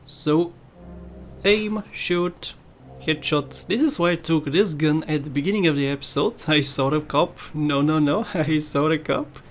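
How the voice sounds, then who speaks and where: A man with a Bulgarian accent giving casual, playful gameplay commentary, chatting to viewers and often laughing at himself. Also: a little high. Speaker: gaming YouTuber.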